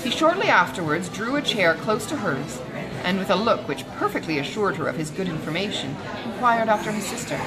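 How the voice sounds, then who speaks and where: A woman's voice: mid-range voice, big pitch swings, brisk, over a noisy crowd, low-quality recording. A woman reading a classic novel as scripted audiobook narration. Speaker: audiobook reader. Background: music.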